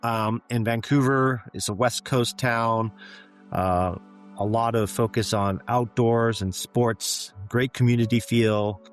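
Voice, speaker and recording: A middle-aged Canadian man telling his life story as an interview guest, in a measured, reflective way with frequent "um" and "you know.". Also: mid-range voice, steady tone, soft background music. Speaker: guest, physician executive.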